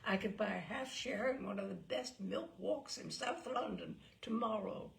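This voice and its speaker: A woman's voice: medium pitch, moderate pace, vocal fry. A woman voicing a mild, lovestruck young man in a storybook reading, sincere and simple in manner. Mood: wistful.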